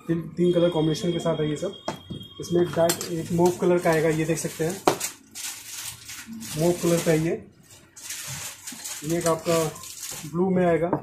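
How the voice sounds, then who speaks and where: High-voiced salesman in a noisy shop. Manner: salesman's patter, mixing in English words. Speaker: garment wholesaler presenting stock. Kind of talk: sales showcase on camera. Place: small indoor clothing shop. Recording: clearly noisy shop audio with clicks.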